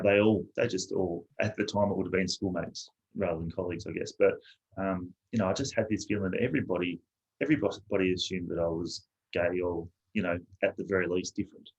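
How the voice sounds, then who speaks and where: A man from South Australia who is an interview guest, telling his own story in a soft-spoken, reflective and hesitant way. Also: low-pitched, dull audio.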